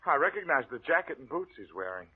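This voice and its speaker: Casting: earnest, jittery wealthy stepson in an old radio mystery, very lively intonation. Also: male voice, a little high, quick pace, breathy edge. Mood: uneasy, earnest. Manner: earnest, excitable. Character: polite, rattled wealthy stepson of a murdered man. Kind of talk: 1940s radio acting. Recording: muffled old radio recording.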